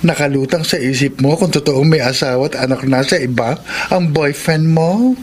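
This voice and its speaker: A man's voice: on the high side, normal speed, a little hiss or hum, natural voice. An actor playing a concerned, helpful male friend who offers advice in a Filipino radio drama. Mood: concerned, reassuring.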